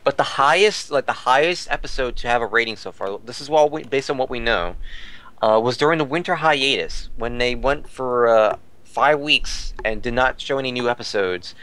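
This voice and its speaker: A man's voice: mid-range voice, expressive, normal speed, a bit bright, clean tone. A man talking casually as a podcast panelist, explaining things in a detailed, digressive way. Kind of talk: casual discussion, reporting figures.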